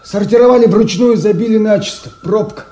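A frightened, strained subordinate. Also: male voice, sits high, fairly quick, mellow. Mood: anxious. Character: frightened male subordinate officer, nervous. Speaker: actor in an audio play. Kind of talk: 1970s stage play audio recording.

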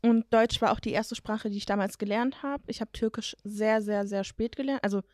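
A woman's voice: medium pitch, fairly even intonation, normal speed, darkish tone, dry recording, studio quality. A young woman co-hosting a podcast, talking in a casual way with lots of fillers and gestures. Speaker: podcast co-host. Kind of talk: casual chat.

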